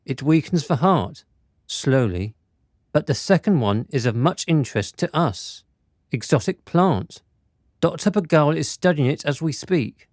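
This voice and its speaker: A precise, courteous doctor from a period radio play, acted by a man. His voice sits fairly high and swings widely in melody, while the phrasing stays formal and deliberate. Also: expressive, a little mellow, no echo, studio quality. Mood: grave, explanatory. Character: courteous, precise physician reporting to a lord. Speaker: actor in a radio drama. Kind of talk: theatrical period radio drama acting.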